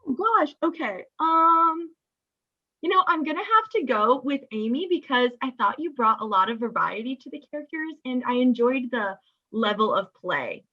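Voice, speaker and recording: A young woman giving measured, constructive feedback as a guest judge, recorded over a video call. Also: sits high, animated. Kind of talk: conversational critique. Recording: dull video-call audio.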